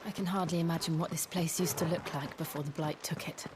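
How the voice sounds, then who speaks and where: An actress playing a sombre, composed young noblewoman in a fantasy video game, soft-spoken and measured. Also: low-pitched, fairly even intonation, natural voice. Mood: concerned. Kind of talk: dramatic video game dialogue. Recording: background noise with outdoor ambience.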